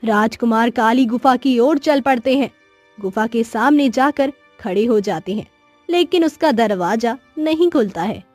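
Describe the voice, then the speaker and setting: A woman's voice: on the high side, animated, unhurried, darkish tone, no room sound, soft background music. A woman narrating a scripted cartoon story as storyteller.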